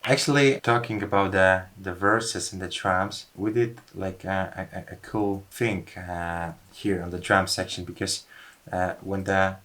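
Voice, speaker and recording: Low-voiced man explaining a music track. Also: fairly even intonation, a little slow, few pauses, a bit bright, a little hiss or hum. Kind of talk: casual studio walkthrough.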